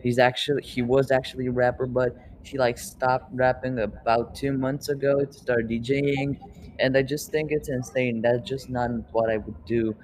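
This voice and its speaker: A man's voice: mid-range voice, little pitch movement, normal speed, a little hiss or hum, poor audio. A young man hosting a podcast, chatting loosely with upcoming rappers and asking them questions. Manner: rambling, loose, swears casually. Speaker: podcast host. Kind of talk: casual interview chat.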